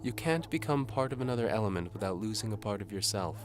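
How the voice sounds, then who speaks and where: Man voicing a defiant young woman, raised pitch. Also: expressive, fast talker, a little hiss or hum, natural voice. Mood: resigned. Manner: protesting, insistent. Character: defiant, desperate young woman in chains, pleading her case. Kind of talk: audio drama character acting.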